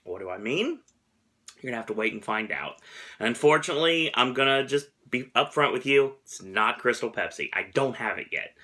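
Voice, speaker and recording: A man's voice: mid-range voice, expressive, fairly quick, pushed and bright. A man hosting a casual snack review vlog, rambling and chuckling at himself. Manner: rambling, chuckles often, self-deprecating. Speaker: host.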